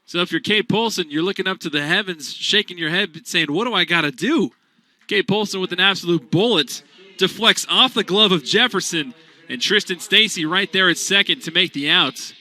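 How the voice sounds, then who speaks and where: A man calling a college baseball game as radio play-by-play announcer, steady between pitches and rising loud on big plays. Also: moderate pace.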